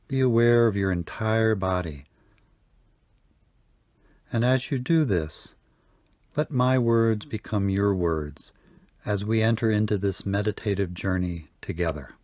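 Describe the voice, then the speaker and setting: A man leading a guided yoga nidra meditation, speaking softly and calmly with long pauses. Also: lowish voice, dry recording, studio quality. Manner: slow, soft, calm guiding with long pauses. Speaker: meditation teacher.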